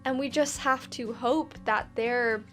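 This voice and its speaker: A woman's voice: on the high side, slow, fairly bright tone, soft background music. A woman hosting a video review, talking casually and reflectively to camera in an earnest, candid way.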